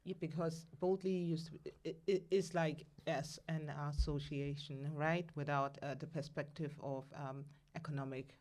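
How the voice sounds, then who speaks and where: A woman's voice: sits low, steady tone, moderate pace, few pauses, darkish tone. A woman hosting a conversational podcast who encourages her guests with frequent short acknowledgements. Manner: encouraging, frequent "mm-hmm" backchannels. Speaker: podcast host.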